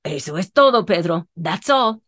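A woman voicing the devil as a sly, bargaining spirit whose voice sinks low as he settles a deal. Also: expressive, normal speed, fairly bright tone, no room sound, studio quality.

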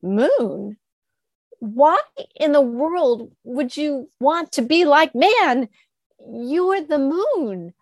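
A woman voicing a curious, kind little male rabbit, speaking earnestly and gently insisting. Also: high-pitched, animated, drawn-out words, no echo, highs cut off, pitched above the performer's own voice, heavily acted.

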